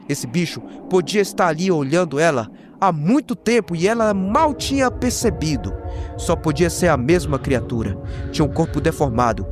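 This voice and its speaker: A man with a Brazilian accent narrating a horror recap dramatically and expressively, with slangy jokey asides. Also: fairly high pitch. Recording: voice over prominent background music. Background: music.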